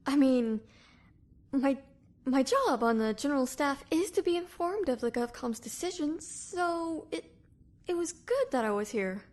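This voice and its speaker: A woman's voice: high voice, expressive, unhurried, a little mellow. A woman playing a flustered, defensive young military assistant who stammers indignantly in an anime-style drama.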